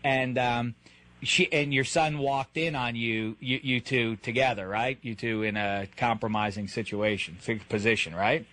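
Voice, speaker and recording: A man's voice: medium pitch, fairly even intonation, measured pace, pushed and bright. A man in his thirties hosting a comedic radio show, teasing a caller in a mock-formal way with frequent stammered restarts. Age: 30s. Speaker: radio host.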